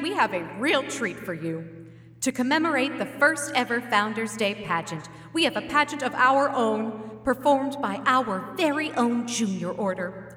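An actress playing a prim, instructive small-town head librarian who theatrically hosts a children's pageant in an audio drama. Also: female voice, a little high, fairly bright tone. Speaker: pageant host. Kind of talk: staged audio drama, public announcement and storytelling. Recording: echoey hall, long reverb, faint music.

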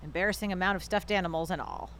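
A woman acting a brisk, teasing mother who runs a boarding house, in an audio drama. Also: lowish voice, a bit bright, slight background noise, lightly acted.

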